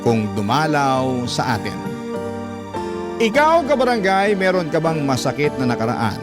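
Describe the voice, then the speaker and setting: A man's voice: a little high, big pitch swings, measured pace. A man with a Filipino accent narrating a scripted story in a dramatic classic radio style, as a program host. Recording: loud guitar music bed. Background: guitar music.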